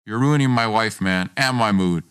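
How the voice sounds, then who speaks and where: A man's voice: fairly low pitch, moderate pace, a bit bright, clean tone, pitched below the performer's own voice, close to an everyday voice. A man voicing a domineering, self-important young man who belittles his friend in acted dialogue for a storytelling podcast. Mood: scornful, harsh. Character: domineering, boastful, scornful young man, a former best friend.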